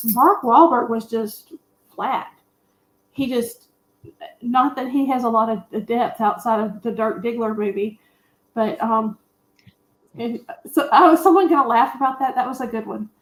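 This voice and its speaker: A woman panelist giving a candid, opinionated and colloquial film recap in casual chat. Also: a little high. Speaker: panelist on a movie discussion show. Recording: roomy, echoey sound.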